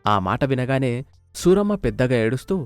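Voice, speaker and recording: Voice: mid-range voice, big pitch swings, moderate pace, clean tone, faint music behind. A narrator reading a scripted moral story.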